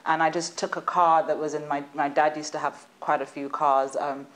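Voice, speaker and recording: A woman, a guest in a studio interview, telling stories in an animated, chatty way and laughing at times. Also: low-pitched, normal speed. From United Kingdom. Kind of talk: casual interview chat.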